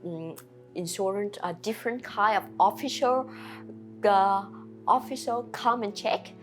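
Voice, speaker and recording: A woman from Vietnam with a Vietnamese accent, a restaurant owner answering interview questions in halting, simple non-native English with many fillers. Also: mid-range voice, faint music behind.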